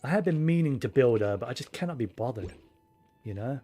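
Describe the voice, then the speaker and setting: A man chatting casually on a game livestream, rambling and thinking aloud in a low-key way. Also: animated, brisk, dark tone. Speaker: game streamer. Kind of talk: casual livestream chat over gameplay. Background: game music.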